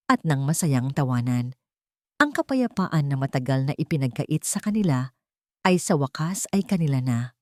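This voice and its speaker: Woman, Filipino accent, lower-pitched voice, scripted dramatic storytelling, dry close sound. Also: darkish tone, no echo. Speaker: narrator.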